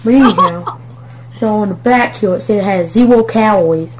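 A man's voice: high-pitched, moderate pace. A man with a speech impediment giving a casual homemade product review on video, with noisy audio.

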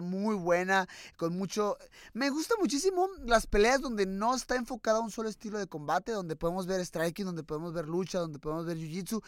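Young Mexican male host, casual and slangy, mid pitch, dry close sound. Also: a bit bright, smooth, no room sound. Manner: chatty, slangy, laughs easily. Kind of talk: casual chat.

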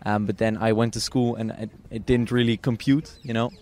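A man's voice: on the low side, steady tone, brisk, darkish tone. A young man from the Netherlands with a Dutch accent, a guest chatting casually, hesitant with lots of fillers and restarts. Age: young adult. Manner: hesitant, many fillers and restarts, laughs often. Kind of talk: casual interview chat.

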